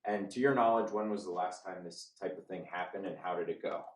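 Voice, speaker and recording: A man's voice: deep voice, a little fast. A man working as a reporter, asking direct questions at a press briefing. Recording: echoey room from a distance.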